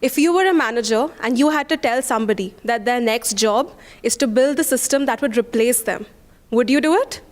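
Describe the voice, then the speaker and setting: A woman from India pitches by putting direct questions to the audience. Her voice is fairly high and rings with a long hall reverb. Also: a little fast. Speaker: speaker pitching a talk.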